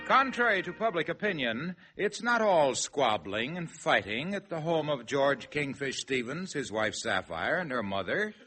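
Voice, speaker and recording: A man's voice: on the high side, sing-song, normal speed, few pauses. A man announcing a 1950s radio show in a scripted, old-time style. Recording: vintage radio, loud music behind.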